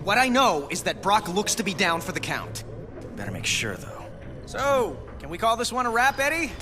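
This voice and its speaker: A youthful, stubborn superhero from a video game. His voice is high and lively, swinging between earnest urging and quips. Music plays clearly behind him. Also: normal speed. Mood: wry, quippy. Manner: quippy, emphatic. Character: young wisecracking male superhero, earnest and stubborn.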